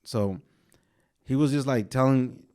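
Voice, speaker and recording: Young dude on a podcast, kinda hoarse, chill rambling chat, normal pitch. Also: male voice, measured pace, a little mellow. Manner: laid-back, rambling, drops casual swearing. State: slightly hoarse from a sore throat. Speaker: podcast co-host. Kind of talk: casual chat.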